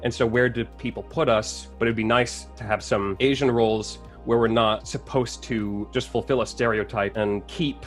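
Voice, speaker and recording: A man's voice: medium pitch, fairly even intonation, moderate pace, few pauses, soft background music. A man giving an earnest, articulate answer as a guest in a panel discussion. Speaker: talk-show guest.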